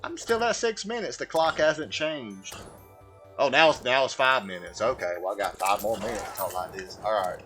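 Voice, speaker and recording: A man voicing a slow, simple, earnest Southern American man with a folksy drawl while chatting on a gaming livestream. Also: mid-range voice, animated, fairly bright tone, natural voice. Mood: resigned, chatty. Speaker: video game streamer. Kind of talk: livestream gaming chat held in a put-on voice. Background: music.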